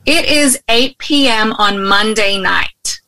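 A woman's voice: on the high side, slow pace, sharp tone, dry recording. A young adult woman from Australia with an Australian accent tinged with American, chatting openly as an interview guest with ums and restarts. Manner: open, friendly, with frequent ums and restarts. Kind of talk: casual interview conversation. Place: at home in Hawaii, remote call.

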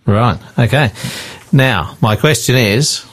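A man's voice: mid-range voice, slow, fairly bright tone. A man hosting a faith radio talk show, upbeat and friendly as he interviews a guest.

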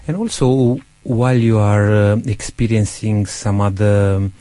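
A man hosting a faith interview, asking questions in a gentle, halting way with frequent pauses and fillers. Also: on the low side, no room sound.

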